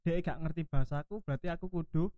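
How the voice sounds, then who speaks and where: A man's voice: on the high side, steady tone, fast, no room sound. Young man, dull dry sound. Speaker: chat participant. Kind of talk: casual group chat.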